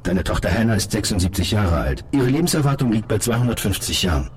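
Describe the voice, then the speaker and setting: Deep, brisk, reassuring police colleague. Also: male voice, lively intonation, slightly rough. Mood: matter-of-fact. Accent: standard German. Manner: measured wording, reassuring, direct. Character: calm, matter-of-fact male colleague patiently explaining hard news. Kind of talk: German radio drama acting.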